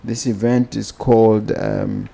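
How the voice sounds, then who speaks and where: A male podcast host with a Botswana accent speaks in a casual way, feeling his way from phrase to phrase. His voice sits fairly low and has a noticeable gravelly fry, with a soft, dark tone. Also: little pitch movement, unhurried. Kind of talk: casual podcast hosting and interviewing.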